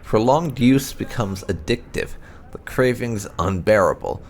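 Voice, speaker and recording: A man reading fantasy game lore aloud as narration, in a deliberate, expressive manner with dramatic stresses. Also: on the low side. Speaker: host of a game playthrough channel.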